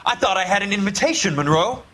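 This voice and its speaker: An actor playing a tough, sardonic 1940s investigator in a video game, with an American accent and a dry, hard-boiled manner. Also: male voice, high voice, expressive, fast talker, fairly bright tone. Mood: menacing, sardonic. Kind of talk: dramatic game voice acting.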